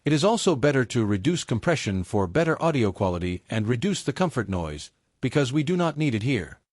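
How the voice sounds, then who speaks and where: A man reading a scripted technical tutorial step by step in a measured, methodical way. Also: medium pitch, very animated, no echo, clean studio sound. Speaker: tutorial presenter.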